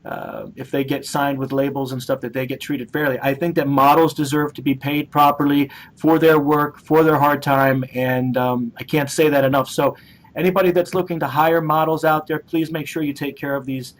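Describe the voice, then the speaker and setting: A man's voice: a little high, fairly even intonation, moderate pace, low-quality recording. A man hosting a conversational interview, rambling and repeating words as he works toward his questions.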